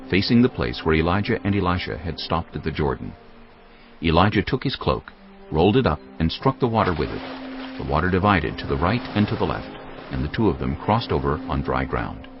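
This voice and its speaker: Male scripture narrator, extremely deep, creaky, solemn, measured, dramatic. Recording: dull lo-fi sound, music underneath. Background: faint music.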